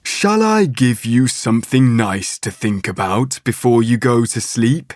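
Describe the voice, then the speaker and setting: Man playing a gentle, slow-talking father bear. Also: medium pitch, expressive, no room sound, studio quality, natural voice. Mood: reassuring. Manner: tender, soothing, unhurried. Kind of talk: children's bedtime storybook reading.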